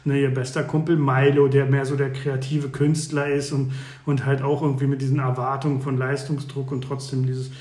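A man with a mid-pitched voice co-hosts a relaxed podcast chat. He speaks informally and a little haltingly, thinking aloud. The room around him adds a clear echo. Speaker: podcast co-host. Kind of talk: casual chat reminiscing about childhood TV. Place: indoor room at a community workshop. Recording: roomy, echoing sound.

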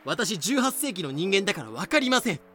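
A man's voice: high voice, big pitch swings, brisk, sharp tone, exaggerated voice. A man playing a theatrical, despairing teacher who lectures dramatically in a fan-made voice drama. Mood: exasperated. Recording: music behind the voice.

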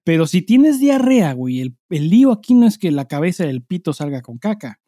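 A man's voice: fairly high pitch, big pitch swings, brisk, dark tone, no room sound, studio quality. A Mexican man co-hosting a comedy podcast, chatting casually with vulgar slang and crude storytelling. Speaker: podcast co-host.

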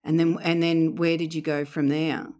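A woman hosting a podcast interview, asking gentle questions and adding short acknowledgements. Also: deep voice, flat delivery, normal speed. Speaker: podcast host.

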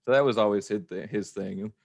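A man's voice: on the low side, brisk, gravelly, darkish tone, no echo, clean studio sound. An adult man talking casually on a podcast, telling stories in an informal, chatty way. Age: adult with a young child. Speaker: podcast guest and voice actor. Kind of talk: casual chat.

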